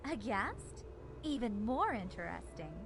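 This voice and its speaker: A man's voice: high voice, big pitch swings, unhurried, pitched above the performer's own voice, heavily acted. A man voicing a bubbly, pushy young woman reporter in a visual novel, with a breezy, gushing, theatrical delivery. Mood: perky. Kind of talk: visual novel character voice read-aloud.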